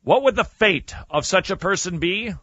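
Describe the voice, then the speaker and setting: Male radio storyteller, lively and sharp, emphatic with dramatic pauses; dry, slightly muffled podcast sound. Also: high-pitched, no echo. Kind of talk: animated storytelling with reading and commentary.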